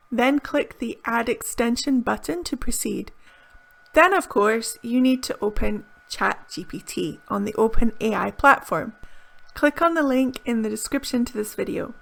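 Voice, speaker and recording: A woman presenting a lesson video in a clear, upbeat, carefully enunciated teaching manner, recorded indoors on a close camera mic. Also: on the high side, a bit bright. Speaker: English teacher.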